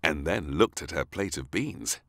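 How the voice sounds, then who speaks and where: A man reading a children's story aloud as narrator, in an expressive, unhurried way. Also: a bass voice, no room sound, studio quality.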